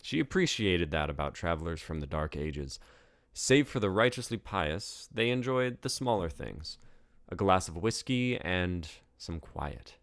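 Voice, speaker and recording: A man's voice: deep voice, expressive, a little slow, dry recording. A man reading his own novel aloud as scripted story narration.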